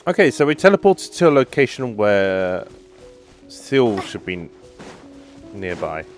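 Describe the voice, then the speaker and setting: A man's voice: on the low side, big pitch swings, measured pace, soft background music. A man hosting a casual game playthrough, thinking aloud with small interjections as he plays. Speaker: gaming video host.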